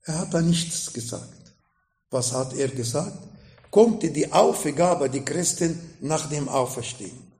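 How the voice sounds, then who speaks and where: A man with an Arabic accent preaching a sermon in slow, emphatic phrases that rise sharply in pitch. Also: darkish tone, reverberant. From Middle East. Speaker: pastor.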